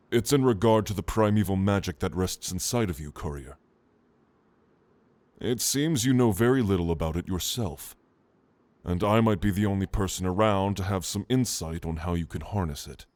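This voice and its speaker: A man voicing a calm, scholarly orc shaman and gentle mentor, speaking in a soothing, measured, formal way in an ASMR roleplay. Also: deep voice, dry recording. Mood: earnest, helpful.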